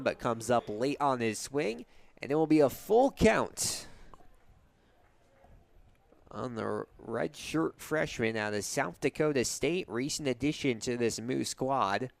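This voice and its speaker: A man's voice: mid-range voice, animated, slow pace, creaky. A young man doing live radio play-by-play commentary of a baseball game as the announcer. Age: young adult.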